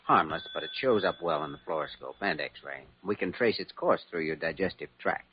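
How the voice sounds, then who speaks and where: An actor playing an earnest, calm, courteous young doctor in old-time radio drama style, measured and clinical. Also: male voice, sits low. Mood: reassuring. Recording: dull, faintly noisy old radio recording.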